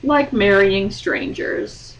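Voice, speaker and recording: A woman's voice: mid-range voice, slow pace. A woman host chatting casually and cutting in with sarcastic commentary, indoors. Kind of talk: casual chat, heckling commentary. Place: sewing room, indoors. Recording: distant mic, background noise.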